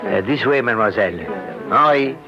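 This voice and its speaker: A man's voice: mid-range voice, a little fast, over music, lightly acted. Male head waiter acted by a man, polite, dull vintage radio sound. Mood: courteous. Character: polite, deferential French restaurant maître d'. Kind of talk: old-time radio drama acting. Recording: muffled old radio recording.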